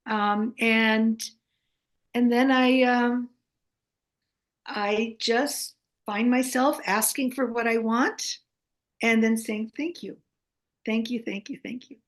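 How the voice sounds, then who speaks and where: Older female guest, Greek first language, slightly high voice, halting conversational delivery. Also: steady tone. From United States. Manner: halting, many fillers and restarts. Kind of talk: casual interview conversation.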